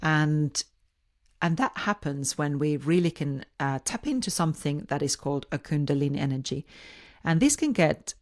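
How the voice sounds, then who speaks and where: A woman's voice: low voice, lively intonation, normal speed, a little mellow. A woman with a Finnish accent hosting a podcast, speaking informally on her own and often rephrasing herself mid-sentence. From Finland. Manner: hesitant, rephrases and repeats words mid-sentence. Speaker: podcast host. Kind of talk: informal solo podcast monologue.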